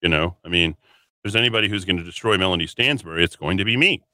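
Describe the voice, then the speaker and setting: Talk radio guy ranting solo, fiery edgy tone, kinda low voice, sniffly nose, keeps restarting his words. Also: racing, bright, forceful tone, dry recording, studio quality. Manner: combative, rambling, repeats words and restarts. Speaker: radio talk show host. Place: radio studio.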